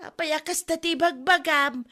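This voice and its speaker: Woman acting bright, sharp daughter. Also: sits high, moderate pace. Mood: puzzled reproach. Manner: questioning, reproachful. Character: questioning, reproving daughter of a debtor. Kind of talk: comedy radio-drama acting.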